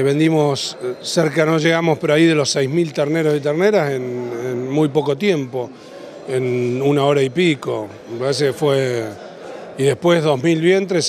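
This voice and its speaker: A man in his thirties with an Argentine accent, answering interview questions as a livestock auctioneer, in a halting way that repeats and rephrases. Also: a little high, darkish tone. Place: large indoor event hall after an auction. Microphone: handheld interview microphone.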